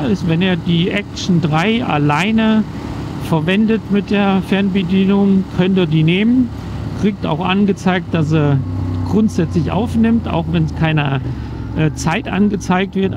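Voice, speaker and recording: A fairly high-voiced German man chats from under his helmet while riding, relaxed and rambling with plenty of "ähm"s. The helmet mic sounds muffled and dull, and wind and engine noise sit clearly behind him. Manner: relaxed, rambling with many fillers. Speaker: motovlogger reviewing action cameras. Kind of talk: casual vlog explanation. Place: riding a motorcycle outdoors on country roads.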